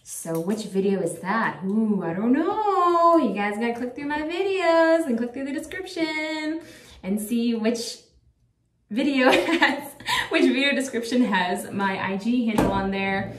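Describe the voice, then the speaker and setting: A woman's voice: fairly high pitch, expressive, a little slow. Rambly chatty woman in her 30s, lots of ums, kinda far from the mic in an echoey room. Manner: rambling, chatty, many fillers. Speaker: vlogger. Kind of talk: casual chat to camera.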